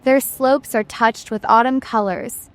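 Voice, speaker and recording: Female travel narrator, slightly high voice, reflective scripted read with poetic, unhurried phrasing. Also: fairly even intonation.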